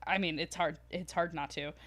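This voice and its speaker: A woman's voice: low voice, steady tone, moderate pace, a bit bright. A woman co-hosting a podcast, telling stories in a casual, conversational, informal way and swearing freely. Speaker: podcast co-host.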